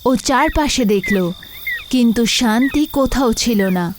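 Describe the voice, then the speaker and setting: উঁচু স্বরের নারী গল্পকথক. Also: female voice, a little high, a little slow, background noise. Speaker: narrator. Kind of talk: scripted horror story narration.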